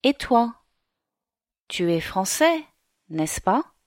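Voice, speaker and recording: A woman's voice: on the high side, big pitch swings, darkish tone, dry recording, studio quality, heavily acted. A woman reading as a friendly young Englishwoman. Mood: curious. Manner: slow, carefully articulated reading.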